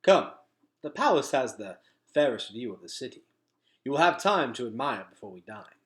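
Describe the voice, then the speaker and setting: A courteous, stately male elder from a fantasy storybook, voiced by a male reader. He speaks in formal, old-fashioned phrases, with a bright, forceful tone and an animated melody. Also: medium pitch, moderate pace. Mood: proud, gracious. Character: dignified, courteous male elder of an underground city.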